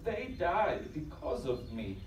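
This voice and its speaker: Male actor, haunted doctor, halting formal speech, slow, swooping intonation, echoey stage, audio drama. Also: on the high side, wildly swooping pitch, full character voice. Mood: anguished. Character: guilt-ridden male doctor, haunted and defensive. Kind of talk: live audio drama performance. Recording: echoey live stage, distant, faint noise.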